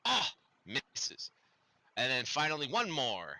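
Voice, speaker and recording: A man's voice: medium pitch, wildly swooping pitch, slow pace, pushed and bright, no echo. A man running a tabletop game as dungeon master, narrating playfully with sound effects.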